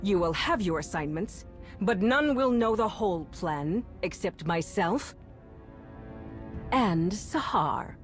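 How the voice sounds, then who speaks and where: A woman voicing a calculating, coldly menacing warlord in a video game, measured, solemn and authoritative. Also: on the low side, animated. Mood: resolute, solemn. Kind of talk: dramatic video game monologue. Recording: music under the voice.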